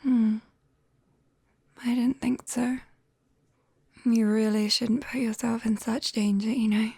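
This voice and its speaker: Woman doing a soft british witch girl for asmr, whispery and breathy, kinda creaky, caring n teasing, super close dry mic. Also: on the high side, fairly even intonation, dry recording, heavily acted. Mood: caring, gentle warning. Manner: slow, gentle, teasing. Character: caring, clumsy young female forest witch. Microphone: close binaural microphone, dry.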